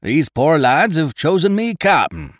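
Man voicing Long John Silver, loud wily pirate captain. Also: fairly high pitch, huge pitch swings, a little slow, no room sound, clean studio sound, voice pushed up. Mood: cheerful, bargaining. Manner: hearty, rough sailor's turns of phrase.

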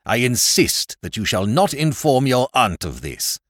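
A man voicing an anxious, formal older gentleman who pleads in measured tones. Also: medium pitch, sing-song, no echo, studio quality. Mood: pleading. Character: older male baronet, anxious and stiff.

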